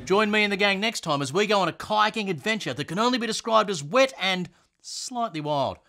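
A man's voice: high voice, lively intonation, brisk, sharp tone. An Australian man in his forties narrating a travel show as its host, with a jokey, playful manner. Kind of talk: scripted voice-over narration and casual chat.

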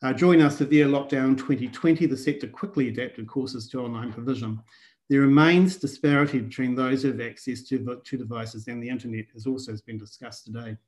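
An older man with a New Zealand accent, delivering measured prepared conference remarks over a video call from a small room. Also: mid-range voice, darkish tone, low-quality recording. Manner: measured, read from notes. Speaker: conference speaker.

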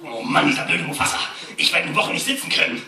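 Man playing a wildly swooping, high, foolish hyena. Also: big pitch swings, fast talker, recorded from a distance. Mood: whining. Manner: theatrical, exaggerated. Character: whining, foolish, excitable hyena henchman. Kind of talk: live comedic audio play reading on stage. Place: stage in a dark auditorium. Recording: very noisy, roomy phone recording from audience.

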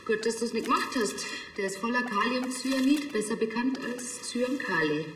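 A woman's voice: on the high side, lively intonation, normal speed, roomy sound, noisy background, close to an everyday voice. An actress playing a prim, matter-of-fact woman in a television crime comedy. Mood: dry, clinical.

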